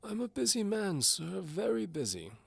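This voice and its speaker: High, creaky old duke. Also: male voice, very animated, moderate pace, exaggerated voice. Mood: indignant. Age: older. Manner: pompous, formal, hesitant blustering. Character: doddering old duke, fussy, imperious amateur alchemist. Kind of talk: audio drama character acting.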